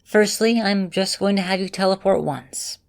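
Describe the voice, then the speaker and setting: A woman voicing a caring, composed vampire mistress and mentor in an ASMR roleplay, speaking directly to the listener in measured, formal phrasing. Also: mid-range voice, gravelly, natural voice. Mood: calm, instructive. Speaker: voice actor in a roleplay audio.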